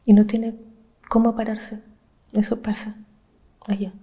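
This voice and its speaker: A woman from Chile, an activist speaking earnestly and with passion, laying out her points in measured, listed phrases. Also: mid-range voice, little pitch movement, dark tone. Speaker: social movement activist. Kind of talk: reflective interview talk.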